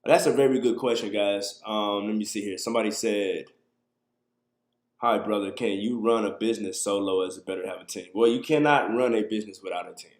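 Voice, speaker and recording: Brief: man, 30s, deepish voice, loose casual livestream host, echoey little room on a webcam mic. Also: a little fast. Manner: loose and conversational, answers viewer questions. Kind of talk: casual live talk to viewers. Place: small room indoors.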